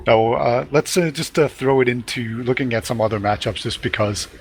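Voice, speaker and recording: A male esports caster with a mid-pitched voice and a faint hiss behind it. He talks easily and conversationally, breaking into laughter and throwing questions to his co-caster. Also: normal speed. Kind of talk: casual live game commentary.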